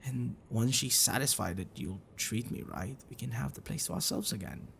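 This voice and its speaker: A man playing a gentle, bookish half-dragon boyfriend in an intimate ASMR roleplay, affectionate and apologetic, speaking haltingly and softly. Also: mid-range voice, lively intonation, vocal fry, slight background noise. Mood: soft, reassuring. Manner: halting, soft chuckles and sighs, dropping to whispers.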